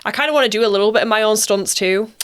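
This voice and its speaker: A woman, an actress being interviewed, chatting casually in an informal, expressive and giggly manner. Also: mid-range voice, racing, smooth.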